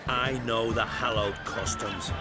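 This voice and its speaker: A man's voice: lowish voice, steady tone, a little slow, natural voice. A man voicing a stern, proud chieftain. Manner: blunt, commanding. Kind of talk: video game cutscene acting. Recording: game cutscene with loud score. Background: loud orchestral music.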